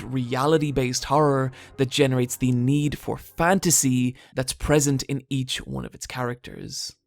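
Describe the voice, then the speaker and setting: A man's voice: medium pitch, gravelly. A man narrating a scripted video essay, with dry, wry asides. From Britain. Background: soft background music.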